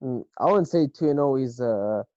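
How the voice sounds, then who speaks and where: A young man in his late twenties with an Israeli accent talks slowly and haltingly, pausing on fillers as he searches for English words. His voice is dark and mellow, close and dry, with a slightly dull, lo-fi sound. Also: mid-range voice, expressive, no echo. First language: Hebrew. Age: late 20s. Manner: hesitant, halting, many uh fillers. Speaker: guest boxer. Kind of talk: casual interview answers.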